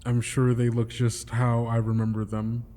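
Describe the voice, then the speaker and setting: A man improvising first-person narration as a player in a tabletop game, halting and thinking aloud. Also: medium pitch, completely flat delivery, mellow. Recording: long reverb, music behind.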